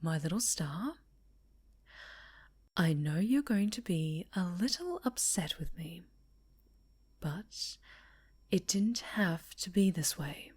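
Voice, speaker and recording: A woman playing a sinister, possessive female superhero villain in an ASMR roleplay, speaking softly with sweet menace and often whispering. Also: fairly low pitch, animated, full character voice. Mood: coldly menacing. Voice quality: soft, breathy, often whispered. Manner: slow, sweetly menacing, coaxing.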